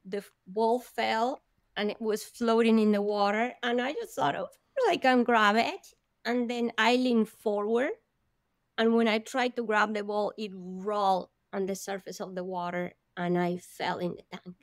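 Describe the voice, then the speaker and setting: A woman's voice: mid-range voice, fairly even intonation, moderate pace, dry recording. A woman from Colombia, a guest vividly telling her own life story in an interview, with gasps and laughs along the way.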